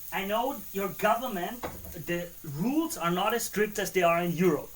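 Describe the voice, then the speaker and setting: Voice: medium pitch, a little hiss or hum, low-quality recording. A streamer from Austria, chatting casually with live viewers while cooking and answering them between remarks on the pot.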